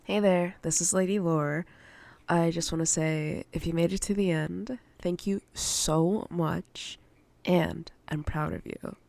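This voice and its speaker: Asmr channel lady talking to her listeners, bit of fry in the voice. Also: on the low side, fairly even intonation, slow, darkish tone. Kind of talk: sign-off to listeners.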